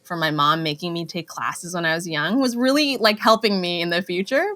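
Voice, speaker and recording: A young woman from Canada, guesting on a talk show, her voice sitting a little low. She tells stories in a loose, chatty way, full of fillers and quick laughs. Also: fairly bright tone. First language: Cantonese. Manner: chatty storytelling, laughs often, many fillers. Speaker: actress guest. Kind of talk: casual interview chat.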